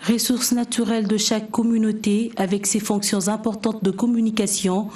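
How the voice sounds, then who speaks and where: A woman's voice: mid-range voice, level tone, normal speed, darkish tone. Woman reporter voicing a news package. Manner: formal scripted narration.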